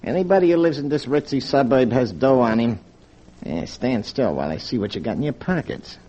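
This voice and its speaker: A man's voice: medium pitch, expressive, brisk, warm tone, close to an everyday voice. An actor playing a tough male street robber with streetwise gangster slang, in a 1940s radio drama. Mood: menacing. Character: tough-talking male street stick-up man. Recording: dull vintage radio recording with music.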